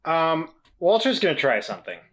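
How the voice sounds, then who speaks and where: Bright-voiced male player. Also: a little high, sing-song, moderate pace. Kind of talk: casual game talk.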